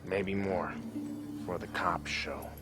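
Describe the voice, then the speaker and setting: A streetwise 1930s mobster. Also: male voice, sits low, slow pace, over music. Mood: scheming. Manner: streetwise, blunt tough-guy talk. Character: scrappy Prohibition-era mobster, the heist's planner. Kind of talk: game cutscene acting.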